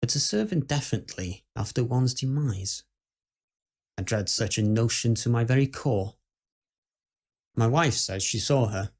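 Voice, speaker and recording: Man acting a formal, uneasy Victorian gentleman storyteller. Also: medium pitch, lightly acted. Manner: formal, old-fashioned, measured phrasing. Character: dread-filled, skeptical Victorian gentleman recounting house ghosts. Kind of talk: first-person dramatic ghost-story monologue.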